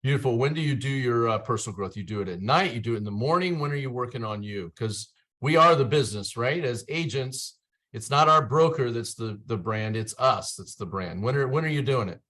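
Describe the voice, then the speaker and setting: A man from Ohio hosting a live online panel over a video call, upbeat, often cutting in with quick questions. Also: mid-range voice, animated, a bit bright. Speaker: host.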